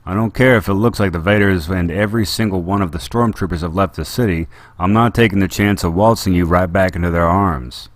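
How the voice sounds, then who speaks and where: A man's voice: lowish voice, moderate pace, few pauses, no room sound, lightly acted. Han Solo, a man doing a brash smuggler captain. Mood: firm. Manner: blunt, gruff, firm.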